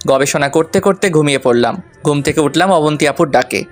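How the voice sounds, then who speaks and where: Male narrator, slightly high voice, playful colloquial romantic storytelling over light music. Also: fairly quick. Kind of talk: first-person romantic story narration with acted dialogue.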